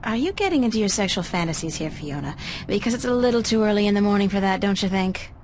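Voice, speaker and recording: A young female art student from an adventure game , played by a woman. She talks in a casual, dry, slightly weary way at a middling pitch. A faint bed of noise runs beneath the voice. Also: lively intonation, fast, a little mellow, close to an everyday voice. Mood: wry, casual. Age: young adult. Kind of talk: conversational video game voice acting.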